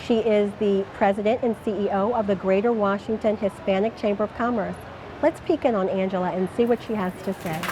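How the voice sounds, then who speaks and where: A woman host giving a short scripted introduction in a crisp, announcing manner. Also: medium pitch, fairly even intonation, a little fast, a crowd in the background, background noise.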